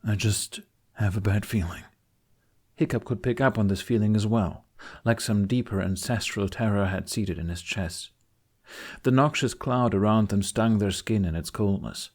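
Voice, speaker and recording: A man with a fairly low voice narrates a fantasy audiobook. His delivery is scripted and dramatic storytelling. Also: normal speed, darkish tone.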